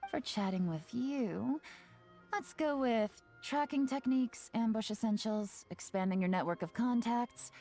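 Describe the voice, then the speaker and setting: A woman voicing a shrewd, playful young spymaster in a video game, teasing and self-assured, with a smooth delivery. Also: on the high side, lively intonation, a little slow, warm tone. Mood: confident, smooth. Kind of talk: scripted video game character dialogue. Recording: game music behind the voice.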